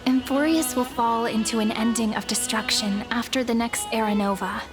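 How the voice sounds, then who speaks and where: Woman voicing sweet teasing young game companion girl, highish gentle voice, music behind. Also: fairly even intonation, moderate pace, natural voice. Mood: wistful. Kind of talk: scripted game dialogue, anime-style.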